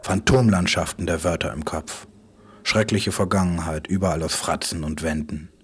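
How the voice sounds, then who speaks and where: A man speaks in a very low register with a breathy, husky edge. He delivers a literary monologue for radio in clipped, stark phrases that come back in repetition. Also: fairly even intonation, normal speed, fairly bright tone, soft background music. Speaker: writer.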